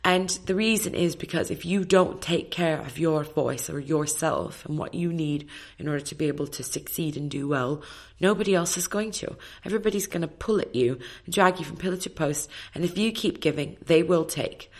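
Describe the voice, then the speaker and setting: Low-voiced, slightly husky woman. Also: moderate pace, few pauses. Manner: reflective, animated storytelling with many fillers. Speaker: interview guest, opera singer. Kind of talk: casual interview chat.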